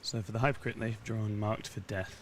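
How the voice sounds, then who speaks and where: A man with a slightly husky voice, co-hosting a game stream and reading viewer messages in a big empty hall. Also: lowish voice, steady tone, a little fast. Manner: reads chat aloud, warm agreeing fillers. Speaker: stream co-host. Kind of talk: casual commentary chat. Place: large empty tournament hall. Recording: clear hall ambience noise.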